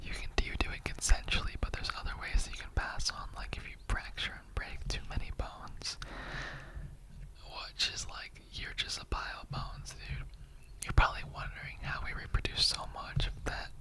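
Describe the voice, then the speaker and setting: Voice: moderate pace, poor audio. A performer in a whispered ASMR roleplay, voicing a casual, mildly offended skeleton with a rambling, slangy, conversational way of talking.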